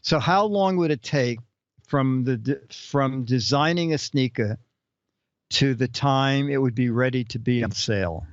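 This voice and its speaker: A man's voice: mid-range voice, unhurried, no room sound, highs cut off. A man hosting a casual live-stream chat, joking around and reading out viewer questions. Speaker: show host.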